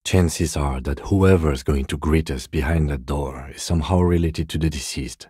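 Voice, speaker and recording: An actor playing a composed, dry-witted police lieutenant in a video game, measured and formal, with a husky voice. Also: male voice, very deep voice, dark tone, no room sound. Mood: composed, serious. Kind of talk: scripted game dialogue.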